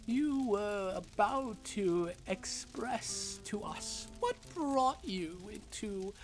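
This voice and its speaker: A woman playing an imperious, sharp-tongued servant of a dragon lord in a fantasy audio roleplay, with theatrical, formal phrasing. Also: medium pitch, expressive, extremely slow, faint music behind. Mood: reverent, coaxing.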